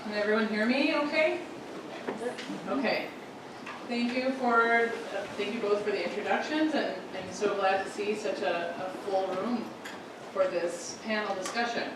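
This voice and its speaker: A woman's voice: mid-range voice, measured pace, noisy background. A woman moderating a panel discussion, speaking conversationally with frequent ums. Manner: conversational, filler-heavy. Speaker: panel moderator and resettlement coordinator. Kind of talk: panel talk. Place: full lecture room. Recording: echoey room from a distant mic.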